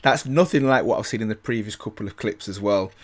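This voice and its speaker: A man's voice: lowish voice, fast talker. A man giving casual, enthusiastic reaction commentary as a video host, often repeating and rephrasing himself.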